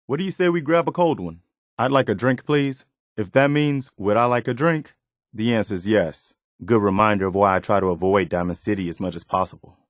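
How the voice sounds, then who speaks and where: A male actor playing an earnest, weary militia officer and loyal companion in a video game, speaking in a measured, conversational way. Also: fairly low pitch, dry recording, clean studio sound, close to an everyday voice. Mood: upbeat, casual, then relaxed, friendly.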